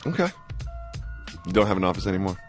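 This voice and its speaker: A man's voice: low-pitched, sing-song, fast, soft background music. An American man co-hosting casual sports radio banter, speaking emphatically, often repeating himself and asking lots of questions. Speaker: sports talk show co-host.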